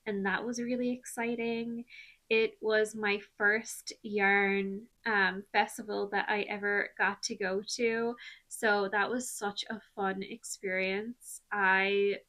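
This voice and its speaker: Slow, chatty Irish woman. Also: mid-range voice. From Ireland. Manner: relaxed, rambling, many ums and likes. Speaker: knitting vlogger. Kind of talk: chatty podcast-style vlog.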